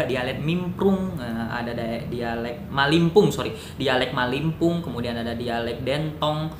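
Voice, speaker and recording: A man talks through a lesson in a loose, chatty way, stopping to correct himself and toss in little jokes. His voice sits at an ordinary pitch. The room around him is echoey, and a steady rumble of noise runs underneath. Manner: chatty, thinks aloud with fillers and self-corrections, jokey asides. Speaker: educational YouTuber. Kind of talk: casual educational monologue.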